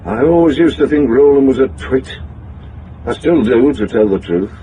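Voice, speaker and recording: A man's voice: medium pitch, animated, moderate pace, mellow, slight background noise, close to an everyday voice. An actor playing a flash, breezy British businessman back in town, in a radio drama with a slightly dull recording. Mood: smug. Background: music.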